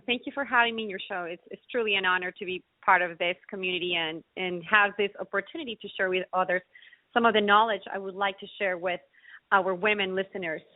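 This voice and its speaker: A woman's voice: mid-range voice, fairly even intonation, fast talker, dry recording. An earnest woman, the practitioner guest you would hear on a wellness call-in, coming through a narrow, phone-like line with no room around her. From Ecuador. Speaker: interview guest, naturopathic physician. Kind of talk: conversational health explanation.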